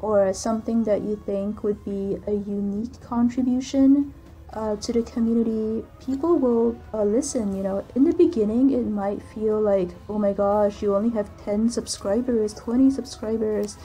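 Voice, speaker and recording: A young woman with a soft voice, answering viewer questions in a casual voiceover recorded on a computer's built-in microphone. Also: mid-range voice, moderate pace, soft background music, low-quality recording. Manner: soft-spoken, relaxed, with frequent ums and likes. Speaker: gaming channel creator.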